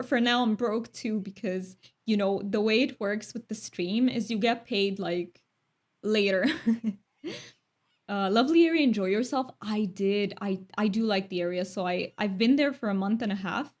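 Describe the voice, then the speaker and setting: A young woman from Romania talks casually with her stream chat. Her speech is thoughtful and chatty, broken up by light laughs. Her voice sits at a middle pitch for a woman. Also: a little mellow.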